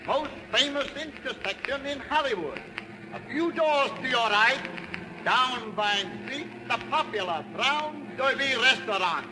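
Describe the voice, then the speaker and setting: High-pitched tour bus conductor. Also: male voice, lively intonation, measured pace. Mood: brisk announcing. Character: loud sightseeing bus tour conductor calling out sights. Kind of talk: 1930s radio advertisement sketch, announcing. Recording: noisy, reverberant 1930s radio recording.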